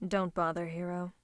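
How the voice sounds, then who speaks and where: A woman's voice: fairly low pitch, clear, resonant tone, no room sound, clean studio sound. A woman playing a playful female supervillain, affectionate and teasing with theatrical menace, in an ASMR roleplay. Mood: smug. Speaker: voice actor. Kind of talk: ASMR roleplay acting, one-sided conversation.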